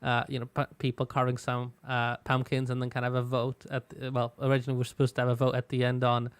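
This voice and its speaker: A man hosting a casual company live stream, chatty and relaxed, laughing easily. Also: medium pitch, fast, clean tone, no room sound.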